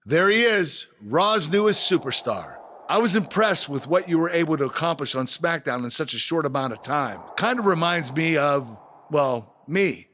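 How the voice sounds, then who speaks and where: An actor playing a proud, gracious wrestling general manager in a video game, speaking in a formal, emphatic way. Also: male voice, a little high, lively intonation, normal speed. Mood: welcoming. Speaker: authority figure announcing to an arena. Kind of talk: scripted video game promo. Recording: loud arena crowd noise behind.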